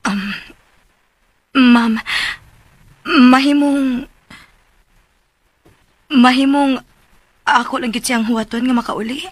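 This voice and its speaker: A woman's voice: sits high, fairly even intonation, slow, pauses often, no room sound. An actress playing a meek, anxious and polite young woman in a radio drama, with a Filipino accent. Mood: pleading.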